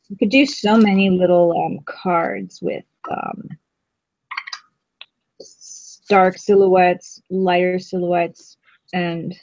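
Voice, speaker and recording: A woman's voice: on the low side, warm tone, highs cut off. A woman co-hosting a craft stream, chatting casually and teasing herself as she paints. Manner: chatty, giggly, self-teasing. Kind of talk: casual chat while painting.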